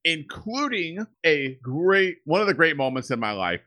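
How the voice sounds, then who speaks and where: Animated man telling rambling stories. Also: fairly high pitch, a little slow. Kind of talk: casual podcast chat.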